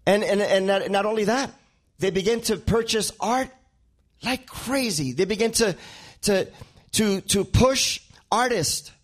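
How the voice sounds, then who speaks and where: A man's voice: high voice, a little slow. A male pastor, a native Spanish speaker with a Spanish accent, preaching a sermon and often repeating words as he builds a point.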